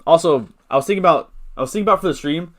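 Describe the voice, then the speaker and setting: A man's voice: fairly high pitch, racing. A man of twenty-nine chatting casually on a live stream, thinking aloud with many restarts and greeting viewers as he talks. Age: 20s. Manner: chats with viewers, gives shout-outs. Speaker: fantasy football streamer.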